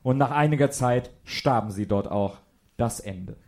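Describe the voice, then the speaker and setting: A man's voice: mid-range voice, a little slow, darkish tone. A man giving dramatic scripted narration for an audio play.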